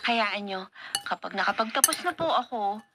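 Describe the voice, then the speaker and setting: A woman's voice: mid-range voice, animated, normal speed, lightly acted. A woman playing an affectionate, proud young student daughter in a Philippine radio drama, earnest, with a Filipino accent. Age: young adult. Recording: noisy, with clinking tableware effects.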